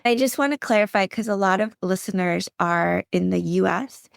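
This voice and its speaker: A woman hosts an interview podcast, asking measured, polite questions. Her middle-pitched voice has a gravelly edge, and the recording is close and dry. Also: no room sound. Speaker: podcast host.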